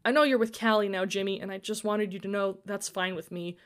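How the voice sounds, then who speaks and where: A woman voicing a shy, kindly and quietly sincere girl in a story. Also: mid-range voice, fairly even intonation, a little fast, close to an everyday voice.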